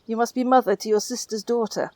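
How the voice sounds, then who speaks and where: High-voiced commanding noble mother played by a woman. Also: steady tone, fast talker, a little mellow, dry recording, lightly acted. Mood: composed, commanding. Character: authoritative noblewoman, mother and head of household. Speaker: actor in an audio Shakespeare play. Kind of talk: Shakespearean radio play acting.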